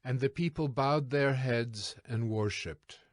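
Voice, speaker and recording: A man narrating scripture from a script in a measured, solemn manner. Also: medium pitch, dry recording, clean studio sound.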